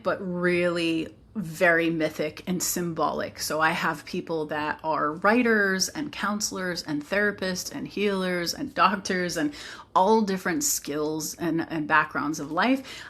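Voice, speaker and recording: A woman's voice: on the low side, fairly bright tone. A woman teacher presenting her program informally, explaining earnestly with conversational asides.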